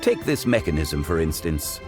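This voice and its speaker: A man voicing an ancient, composed consultant who speaks in measured, formal phrases. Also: a bass voice, big pitch swings. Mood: calm. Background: game music.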